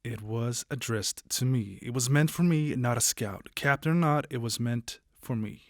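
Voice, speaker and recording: A man's voice: mid-range voice, moderate pace, no echo, studio quality, close to an everyday voice. A man playing a petty, officious military major in an audio drama, hesitant and bureaucratic as he gives orders. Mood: peeved. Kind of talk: post-apocalyptic audio drama acting.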